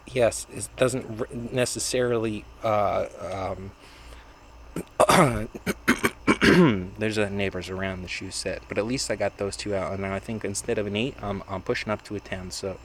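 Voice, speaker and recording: A man vlogging in a meandering, halting monologue, often restarting and repeating words. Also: on the low side, steady tone, a little hiss or hum. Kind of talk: rambling monologue to camera.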